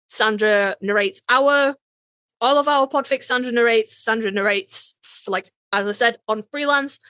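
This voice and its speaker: A woman's voice: mid-range voice, a bit bright, no echo. A woman co-hosting a podcast in casual, slangy chat, swearing freely and telling stories in quoted exchanges. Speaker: podcast co-host.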